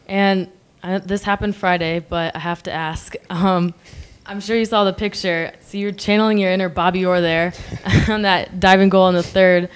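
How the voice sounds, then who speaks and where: A woman's voice: lowish voice, little pitch movement, normal speed, clear, resonant tone. An American woman interviewing players and a coach at a post-game press conference, asking polite questions with a few ums. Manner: polite, with um fillers.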